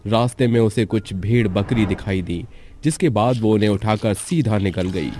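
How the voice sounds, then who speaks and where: A man's voice: lowish voice, moderate pace, mellow, a little hiss or hum. A man narrating a scripted horror story as a storyteller. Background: faint background music.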